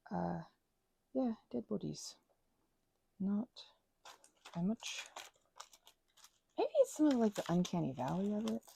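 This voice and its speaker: A woman making soft-spoken ASMR at home, rambling as she crafts, full of fillers and dipping into whispers now and then. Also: fairly low pitch, creaky, husky. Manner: meandering, filler-heavy, drifting into whispers. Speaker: ASMR creator. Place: indoors at home.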